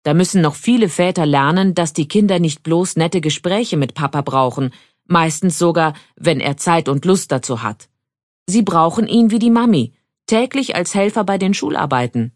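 A woman's voice: fairly low pitch, expressive, normal speed, a little mellow, no echo, clean studio sound, lightly acted. A woman playing a pharmacist in her thirties. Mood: earnest. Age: 30s. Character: female pharmacist in her thirties, opinionated guest. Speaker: studio guest. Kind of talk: scripted exam dialogue.

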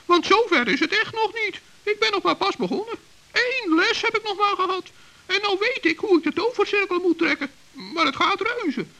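A tiny, childlike old gnome in a children's radio play. The voice is extremely high, creaky and bright, chattering with self-importance and excitable pride. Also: male voice, heavily acted. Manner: chatty, self-important, stammers when flustered. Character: small excitable proud forest gnome, elderly and childlike.

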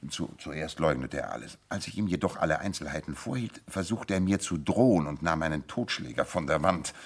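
A deep-voiced, animated master detective. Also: male voice, brisk, husky, exaggerated voice. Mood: brisk, businesslike. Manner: theatrical, self-assured, amused chuckles between explanations. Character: confident, sharp-witted male detective explaining his deductions. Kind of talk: radio play acting.

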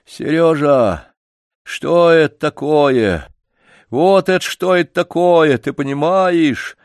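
A man's voice: on the high side, slow, dry recording, studio quality, voice pushed up. A man voicing a frail old grandfather, a grumbling, plaintive circus wanderer in a story, speaking in a folksy, emphatic way. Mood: shaken. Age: older.

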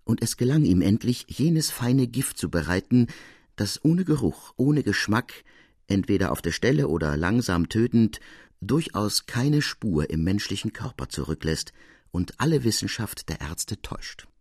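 Tiefer, rauer Erzähler, ausdrucksvoll. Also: male voice, fairly low pitch, husky, dry recording, studio quality. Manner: measured, expressive, with deliberate pauses. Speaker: narrator. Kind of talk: dramatic literary audiobook narration.

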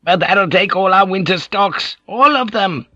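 A man's voice: high voice, expressive, measured pace, sharp tone, no room sound, highs cut off, pitched above the performer's own voice, full character voice. A man voicing an anxious fisherman villager with a whining, pleading voice. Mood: worried pleading. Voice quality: whiny. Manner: pleading, worried.

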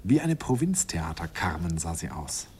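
A man's voice: sits low, very animated, moderate pace, a little mellow, slightly rough, exaggerated voice. A man playing a nostalgic narrator recalling a youthful romance in a radio play, wistful, intimate and amused.

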